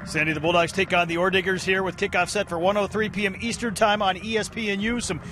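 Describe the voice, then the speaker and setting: A man's voice: high voice, level tone, fast talker. A middle-aged man hosting a sports preview with polished broadcast announcer delivery, speaking outdoors into a handheld microphone. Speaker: team play-by-play announcer and host. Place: outdoors in an open football stadium. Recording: outdoor noise behind voice.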